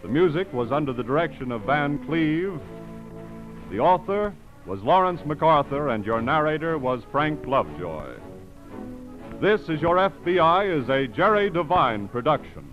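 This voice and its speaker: An American man reading scripted announcements in a formal, measured 1940s radio style. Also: fairly high pitch, sing-song, soft background music. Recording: dull 1940s radio recording.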